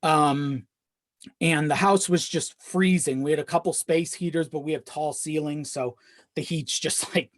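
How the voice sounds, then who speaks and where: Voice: mid-range voice, fairly even intonation, measured pace, no echo, studio quality. A podcast guest chatting casually and telling personal stories in a rambling way, swearing freely and often chuckling mid-sentence. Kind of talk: casual chat, personal storytelling.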